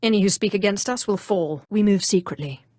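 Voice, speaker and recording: An actress playing a cold, haughty, cunning female Sith sorceress in a video game, speaking in a composed, imperious and deliberate way. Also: mid-range voice, a little mellow, no room sound, clean studio sound, lightly acted. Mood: firm, imperious. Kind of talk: scripted role-playing game dialogue.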